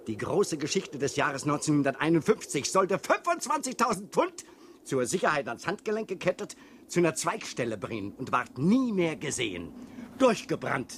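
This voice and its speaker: An actor playing an excitable, teasing veteran policeman with a theatrical manner in a German radio crime drama. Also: fairly high pitch. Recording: noisy radio play recording with ambience.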